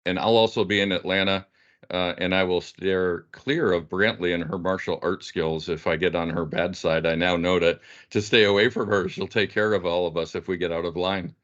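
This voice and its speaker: A man moderates a panel casually. His voice is deep and low, heard over a slightly dull video call. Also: steady tone, moderate pace, fairly bright tone.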